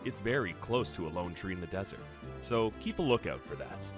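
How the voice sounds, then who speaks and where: Man playing a deep, grave ship's first officer. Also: expressive. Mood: reassuring. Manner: measured, formal, serious. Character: grave, loyal male first officer and adviser. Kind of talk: fan radio-play acting. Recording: music behind the voice.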